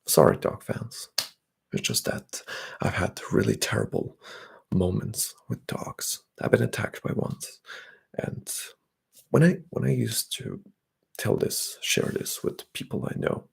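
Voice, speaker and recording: A young man, chatting softly and often whispering on an ASMR livestream while reading out comments. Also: on the low side, wildly swooping pitch, measured pace, gravelly, slightly rough. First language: Bulgarian. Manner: soft-spoken, drifting into whispers. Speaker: ASMR streamer.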